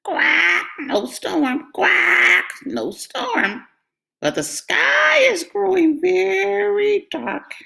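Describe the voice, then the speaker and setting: A woman voicing a fussy, bossy duck in a children's storybook, with a squeaky, quacking voice. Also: big pitch swings, drawn-out words, creaky, bright, forceful tone, heavily acted. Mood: excited.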